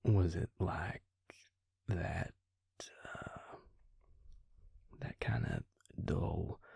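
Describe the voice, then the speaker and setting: A man playing a gentle, concerned Southern vampire confidant in an intimate audio roleplay, speaking in a hushed, halting, husky voice with a Southern US accent, close to the mic. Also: dry recording, exaggerated voice. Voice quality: breathy, husky, gravelly low voice. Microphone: close mic, dry sound.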